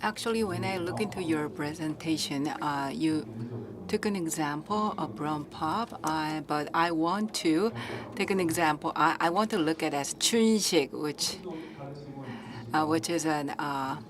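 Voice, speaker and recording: Woman with a low voice asking something at a panel, hesitant, crowd noise behind. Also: measured pace. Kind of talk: spontaneous conference question. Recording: event hall with crowd murmur.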